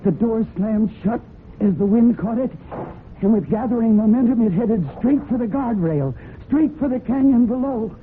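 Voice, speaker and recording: An actress plays a guilt-ridden, desperate woman in a radio mystery. Her delivery is deliberate and intense, with halting phrases. The sound is narrow and phone-like, with clear noise over it. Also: fairly high pitch, lightly acted. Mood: grim, tense. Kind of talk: dramatic radio mystery acting. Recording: noisy, narrow-band old radio recording.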